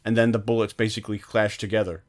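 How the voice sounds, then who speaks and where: A man's voice: on the low side, level tone, quick pace. A man co-hosting a film podcast, talking casually with many fillers and restarts. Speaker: podcast co-host.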